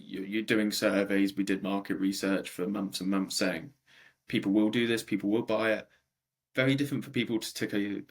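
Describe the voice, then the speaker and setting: A young man in his twenties, a startup co-founder answering interview questions in a conversational way with a deep voice and frequent ums. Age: 20s.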